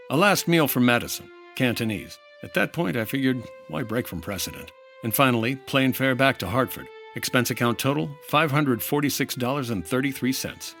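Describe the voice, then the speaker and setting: An actor playing a dry, relentless 1950s insurance investigator in an old-time radio drama, speaking in a clipped, wry, accusing way. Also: male voice, medium pitch, fairly bright tone. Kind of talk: old-time radio detective drama acting with narrated report.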